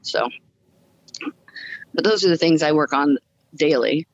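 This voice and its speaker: A woman's voice: low-pitched, unhurried, dull audio. A woman guest telling her personal story in a casual, upbeat way during a podcast interview. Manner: upbeat, chuckles as she talks.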